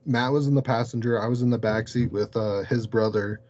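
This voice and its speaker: A man telling a story casually as an interview guest, halting and peppering his sentences with "like". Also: medium pitch, fairly even intonation, darkish tone, lo-fi sound. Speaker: podcast guest, paranormal investigator.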